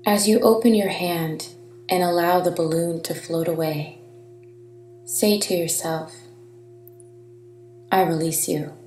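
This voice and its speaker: Very slow, soothing woman meditation guide. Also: on the low side, fairly even intonation, slight background noise. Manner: soothing, gentle, deliberate with long pauses. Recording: reverberant, distant-sounding voice over soft music.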